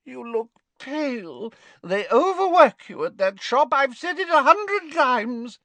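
A man voicing a fussy, vain old rich woman who talks in a shrill, simpering and imperious way. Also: big pitch swings, slow pace, pushed and bright, no room sound, full character voice.

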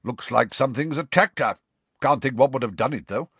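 A man voicing a gruff, practical woman professor with clipped, brisk speech in a storybook. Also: mid-range voice, fairly bright tone, no echo, voice pushed up.

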